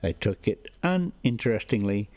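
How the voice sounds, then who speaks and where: A man's voice: lowish voice, sing-song, normal speed, a little mellow. A man hosting a vlog in a folksy, casual, rambling way. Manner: folksy, chuckling, self-interrupting. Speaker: vlog host and story reader. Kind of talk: casual rambling travel narration.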